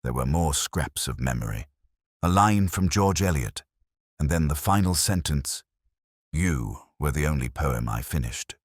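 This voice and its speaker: Very deep solemn male narrator, close and dry. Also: lively intonation, no room sound. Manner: measured, literary, solemn. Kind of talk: first-person scripted detective story narration.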